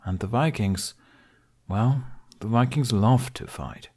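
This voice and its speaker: A man's voice: mid-range voice, takes it slowly, warm tone. A man reading scripted story narration as the narrator of an audiobook.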